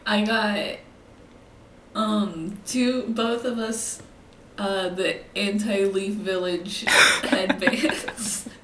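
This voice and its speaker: A woman's voice: medium pitch, steady tone, drawn-out words, background noise. Young woman in an echoey room, chatty. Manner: chatty, giggly, filler-heavy. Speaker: podcast co-host. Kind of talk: casual chat. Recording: roomy echo.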